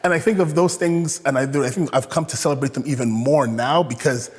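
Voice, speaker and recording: A man's voice: mid-range voice, a little fast, slightly rough. A young man from Canada talking as an interview guest, reflective and hesitant, with many fillers and restarts. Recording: echoey room with long reverb.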